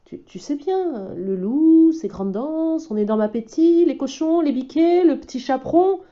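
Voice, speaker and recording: A woman's voice: high voice, animated, measured pace, warm tone, pitched above the performer's own voice. Woman voicing a timid forgetful young wolf. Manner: hesitant, stammering. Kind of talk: storybook reading. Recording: slightly dull recording.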